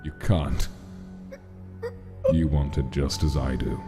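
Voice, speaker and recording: A very deep, grave monster king. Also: slow pace, warm tone, exaggerated voice. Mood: bitter, resolute. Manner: grave, commanding. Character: grieving, embittered monster king, grave and resolute. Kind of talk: dramatic comic dub acting. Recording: long reverb, clear music behind.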